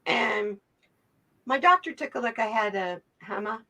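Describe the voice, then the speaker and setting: A woman guest in a casual video interview, telling her story in an animated way and laughing often. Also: on the high side, a little slow.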